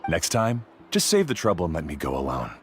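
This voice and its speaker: An actor playing a proud, blunt expert adventurer and guide in an anime game, curt and matter-of-fact. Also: male voice, low voice, huge pitch swings, a little fast, a little hiss or hum. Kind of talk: scripted anime game voice acting.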